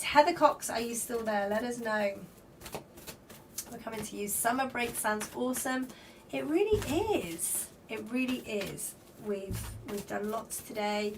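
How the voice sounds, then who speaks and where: A woman with a slightly croaky voice, reading spirit cards on a livestream and chatting with viewers by name, giving flowing spiritual guidance. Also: on the high side. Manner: reads comments aloud and greets viewers by name. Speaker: tarot card reader on a livestream. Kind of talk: live card-reading stream, chatting with viewers. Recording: slightly distant mic with faint background noise.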